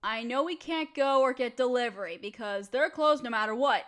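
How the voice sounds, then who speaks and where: A woman plays a young female roommate cooped up at home in a casual audio drama. Her voice is high, and she is bored and restless. She chats in slang with a dramatic, playful grumble, speaking straight to the listener. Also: moderate pace, a bit bright, natural voice. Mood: chatty. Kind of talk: casual scripted roleplay audio, talking to the listener.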